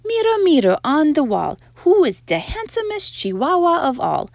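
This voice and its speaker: A woman's voice: mid-range voice, wildly swooping pitch, slow, darkish tone, no echo, exaggerated voice. A woman voicing a boastful, stubborn little kitten boy from a storybook, dramatic and defiant.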